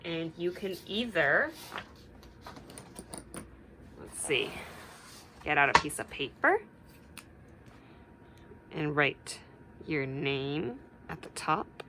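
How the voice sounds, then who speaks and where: Female teacher, children's read-aloud: bright voice, animated pitch, unhurried, explains simply, slight background noise.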